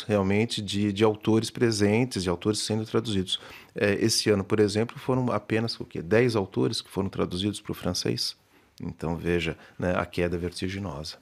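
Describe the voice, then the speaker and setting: A literature professor answering radio interview questions in a measured way, with many hesitations and restarts. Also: male voice, on the low side. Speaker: literature professor and festival organizer.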